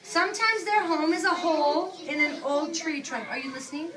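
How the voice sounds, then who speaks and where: A woman preschool teacher reading aloud to young children, using simple instructive phrasing, repeating key words and pausing for questions and asides. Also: very high voice. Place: indoor classroom with young children. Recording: echoey room, distant mic, noisy background.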